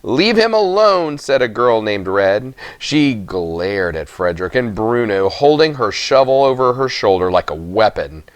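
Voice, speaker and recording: Animated, low-voiced man reading a storybook. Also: measured pace, few pauses, no room sound. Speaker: story reader. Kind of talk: expressive audiobook narration for children.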